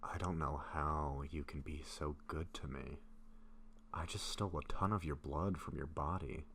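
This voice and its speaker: Male actor for lovestruck, gentle vampire in audio roleplay; rock-bottom pitch, halting breathy delivery, some noise behind the voice. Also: fairly even intonation, heavily acted. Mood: guilty, concerned. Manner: hesitant, breathy murmurs, halting phrases. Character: gentle, apologetic lovestruck young male vampire, shyly hungry.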